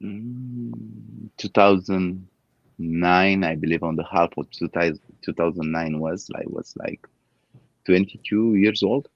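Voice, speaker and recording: A man in his thirties from Romania with a Romanian accent, speaking English as a second language in a casual interview, halting and searching for words. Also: deep voice, gravelly, no room sound, lo-fi sound. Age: 30s. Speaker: guest.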